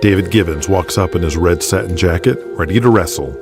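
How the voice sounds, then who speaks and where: A man's voice: sits low, brisk, music in the background. A man reading scripted dramatic narration for an audio drama, in the present tense.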